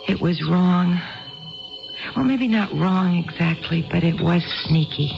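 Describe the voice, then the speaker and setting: On a dull old radio recording, a tipsy housewife pouring out confessions. Her voice has a creaky edge, and she rambles and hesitates like someone in a 1970s radio drama. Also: lowish voice, slow pace, faint music behind. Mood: tipsy confiding. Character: tipsy, neglected middle-class housewife confiding in a bartender.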